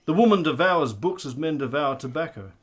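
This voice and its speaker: A man's voice: a little high, animated, a little fast. A man playing a blustery, condescending army colonel in a period radio drama, with a pompous, florid delivery. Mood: genial condescension.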